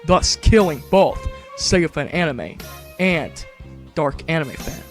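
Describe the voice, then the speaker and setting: A man reading scripted narration for a fan video in an even tone. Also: fairly high pitch, slow, soft background music.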